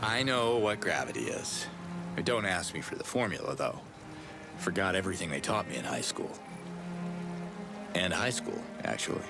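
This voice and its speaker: A man's voice: low-pitched, big pitch swings, normal speed, creaky, pauses often, heavily acted. A man playing a dry, sardonic, laid-back hero in a video game cutscene. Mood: wry. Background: background music.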